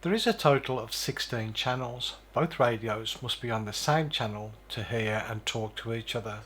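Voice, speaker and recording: Guy doing a scripted product walkthrough, step by step, methodical, mid pitched voice. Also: normal speed, a bit bright, slightly rough. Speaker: product reviewer. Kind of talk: scripted product demonstration narration.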